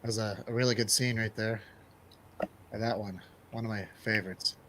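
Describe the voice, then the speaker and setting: A man's voice: lowish voice, steady tone, a little fast, fairly bright tone, slight background noise. A man hosting a radio show, chatting casually and jokingly about films in an informal way. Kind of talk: casual film review banter.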